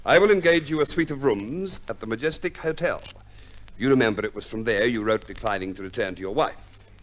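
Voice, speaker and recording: An actor playing a fussy, pompous divorce lawyer, formal and precise, in 1950s radio drama style. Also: male voice, mid-range voice, a little fast, natural voice, poor audio. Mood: solemn, precise. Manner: formal, precise, unctuous, hesitant hums. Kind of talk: 1950s radio play acting.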